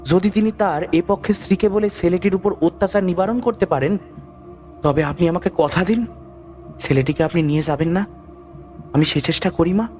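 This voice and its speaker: Man acting higher-voiced, earnest village physician. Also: normal speed, darkish tone, faint music behind, close to an everyday voice. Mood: pleading. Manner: pleading, deferential. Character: humble, earnest village physician, respectful and amazed. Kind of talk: dramatized horror audio story.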